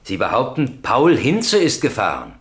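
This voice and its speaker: An actor playing a stern, impatient presiding judge in a radio crime drama, questioning formally and insistently. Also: male voice, mid-range voice, huge pitch swings, moderate pace, fairly bright tone. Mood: sceptical, impatient.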